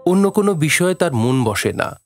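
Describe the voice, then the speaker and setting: A man narrating a literary story for an audio drama in a measured, dramatic way. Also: fairly high pitch, very animated, vocal fry. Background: faint music.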